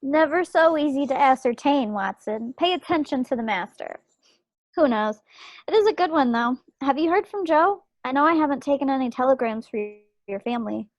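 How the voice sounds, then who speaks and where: Wry, quick-witted young small-town woman of the 1940s voiced by a woman, lightly high voice, dry sound, table read. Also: expressive, dry recording, muffled recording. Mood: wry, playful. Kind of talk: stage play table read. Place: at home on a video call. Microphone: computer microphone on a video call.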